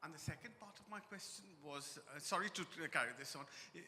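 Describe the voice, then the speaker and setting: A man's voice: a little high, fast, pushed and bright, slight background noise. A man in the audience at a conference asking precise, probing questions with a slightly apologetic tone, in a large hall. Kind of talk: question from the floor.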